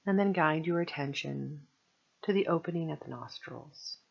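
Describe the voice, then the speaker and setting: A woman guiding a meditation as a teacher, speaking gently and soothingly in measured phrases with long pauses. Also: deep voice, vocal fry, husky, dull audio. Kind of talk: guided meditation narration.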